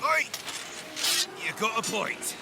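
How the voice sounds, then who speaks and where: A man's voice: high-pitched, sing-song, slow pace, creaky, heavily acted. A man playing a greedy, boisterous pirate crewman who exclaims in old-timey sailor slang in an audio drama. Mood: sheepish. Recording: noisy sound-effect bed behind voice.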